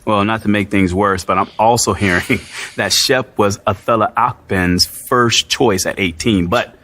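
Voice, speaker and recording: A sports reporter in a basketball game's story. His voice sits a little low. He is conversational and smooth-talking, friendly on the surface but pointed in how he probes. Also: measured pace, bright, forceful tone, slight background noise. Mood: probing, needling. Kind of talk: video game cutscene dialogue.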